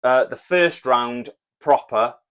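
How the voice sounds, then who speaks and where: A Welsh man co-hosting a casual podcast, talking in a relaxed, plain way and reading out listener messages. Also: mid-range voice, slow pace, smooth. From Wales. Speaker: podcast co-host.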